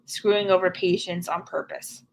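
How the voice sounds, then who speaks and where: A woman's voice: lowish voice, fairly even intonation, moderate pace, gravelly. A middle-aged woman from Canada, speaking earnestly and frankly as a guest in an interview. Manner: earnest, frank and plain-spoken. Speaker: podcast guest. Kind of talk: candid conversational interview.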